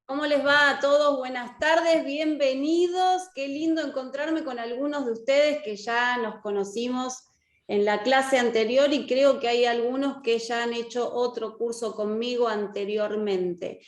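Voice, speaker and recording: High-pitched Argentine woman teaching, echoey room. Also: steady tone, a little slow. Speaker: course teacher and lawyer. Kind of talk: interactive online lecture. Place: indoor room, online video class.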